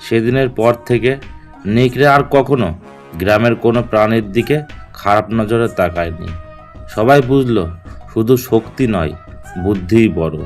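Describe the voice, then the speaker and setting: A man's voice: on the low side, moderate pace, pauses often. A man telling a scripted children's fable as its narrator. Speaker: storyteller, narrator. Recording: music behind the voice.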